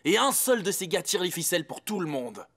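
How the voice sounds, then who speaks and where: A man in a French cartoon dub playing an excitable, cocky young soccer player, slangy, emphatic and insistent. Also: sits high, a little fast, dry recording, studio quality, full character voice. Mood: confiding, sure.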